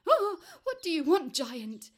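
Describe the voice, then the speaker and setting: A timid, crafty little farmer man from a storybook, as a woman narrator puts him on. The voice is high and trembly. He stammers and pleads politely as he speaks. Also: very animated, unhurried. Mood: frightened.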